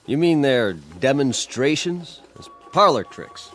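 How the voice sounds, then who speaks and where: A man's voice: medium pitch, big pitch swings, slow, a bit bright, soft background music. A man playing a skeptical, impatient colonel in a radio drama, curt and dismissive.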